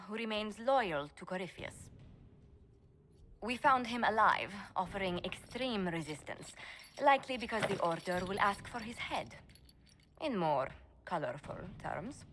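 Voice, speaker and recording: An actress playing a composed, shrewd female advisor who speaks in a measured, formal way in a game. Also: medium pitch, a little hiss or hum, close to an everyday voice. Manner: measured, formal, quietly persuasive. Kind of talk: video game voice acting.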